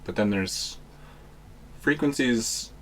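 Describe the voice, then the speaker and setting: A man's voice: lowish voice, takes it slowly. A young man hosting a podcast, giving rambling, casual explanations and often searching for words. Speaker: podcast host. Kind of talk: casual chat.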